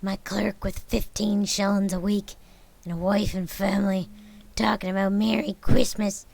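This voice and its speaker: A woman's voice: medium pitch, little pitch movement, moderate pace, vocal fry, a little mellow. A woman reading aloud and voicing a miserly, grumpy old man who growls his curt replies. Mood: gruff.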